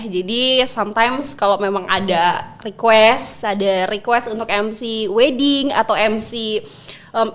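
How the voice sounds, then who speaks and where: A young adult woman chatting casually as an interview guest, expressive and quick to laugh. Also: a little high. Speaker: podcast guest, MC and public speaking trainer.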